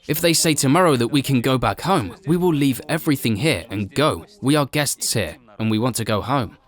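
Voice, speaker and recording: A man reads a documentary voice-over at a mid pitch. He translates and explains in an even, explanatory way. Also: fairly quick.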